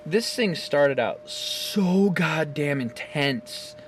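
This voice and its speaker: A man's voice: fairly high pitch, animated, drawn-out words, a little hiss or hum. A man recording himself on his phone camera at home, giving a casual, rambling and profane recap of a game's story, laughing often. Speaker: gaming video creator.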